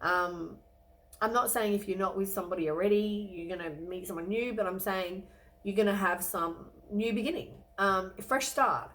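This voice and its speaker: A woman talks through a weekly tarot reading in a loose, rambling way, filling pauses with ums. Her voice is croaky from a cold. She sits in a middling pitch for a woman. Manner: relaxed, rambling, many ums and hedges. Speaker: tarot reader.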